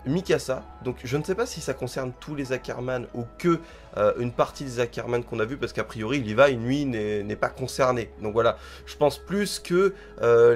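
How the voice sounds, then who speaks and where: Man with a head cold, rambling. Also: mid-range voice. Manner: rambling, thinks aloud, hedges often. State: has a head cold, stuffy. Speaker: manga reviewer. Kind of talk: casual spoken chapter review.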